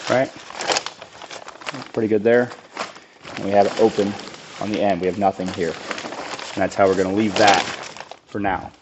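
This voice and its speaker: A man with a raspy voice from a cold, casually explaining a do-it-yourself build while he works on it. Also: fairly low pitch, background noise. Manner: plain-spoken, relaxed, thinks aloud with restarts. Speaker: lawn care business owner demonstrating a DIY build. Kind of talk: casual how-to narration while working.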